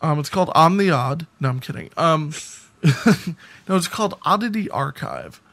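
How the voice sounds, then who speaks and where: A man's voice: on the high side, lively intonation, normal speed, vocal fry, fairly bright tone. An American man co-hosting a podcast, talking in a casual, conversational way. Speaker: podcast co-host.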